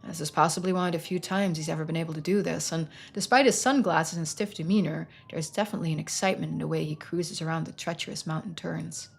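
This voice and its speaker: A woman's voice: low-pitched, fast, vocal fry, hardly any pauses, slightly rough. A woman reading scripted second-person narration aloud as she plays a story game.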